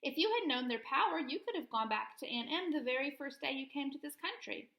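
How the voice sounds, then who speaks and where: Woman playing Glinda, a gracious high-voiced good witch. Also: expressive, brisk, creaky, sharp tone, echoey room, heavily acted. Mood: reassuring, wise. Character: kindly, beautiful young good witch in a storybook.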